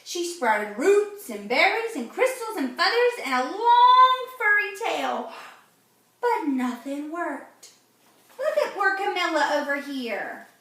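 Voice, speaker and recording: Woman, storybook read-aloud for kids: high, bright voice, exclaiming teacher-like delivery. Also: takes it slowly. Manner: animated, exclaiming, teacher-like questioning. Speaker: reader of a children's read-aloud. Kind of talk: expressive storybook read-aloud with asides to young listeners. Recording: very echoey room, distant mic.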